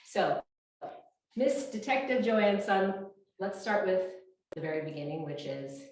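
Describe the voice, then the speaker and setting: A woman's voice: mid-range voice, animated, measured pace, darkish tone. A woman in her fifties hosting a casual interview. She talks in a chatty way, often restarts her sentences and uses fillers, and speaks into a small clip-on mic. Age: 50s. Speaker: fitness coach and interview host. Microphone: small clip-on mic shared between speakers. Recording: very echoey room.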